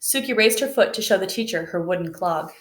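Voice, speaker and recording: A woman reading a children's picture book aloud in a gentle, unhurried way. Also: fairly low pitch, animated. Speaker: storybook reader. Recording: echoey room.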